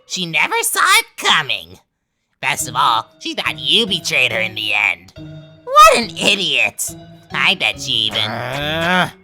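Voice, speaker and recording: A two-faced, wildly swooping talking flower. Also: female voice, deep voice, wildly swooping pitch, unhurried, bright, forceful tone, faint music behind, heavily acted. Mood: bitter, gloating. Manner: taunting, sarcastic, theatrical. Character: manipulative, two-faced talking flower posing as best friend. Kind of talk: dramatic game fan dub.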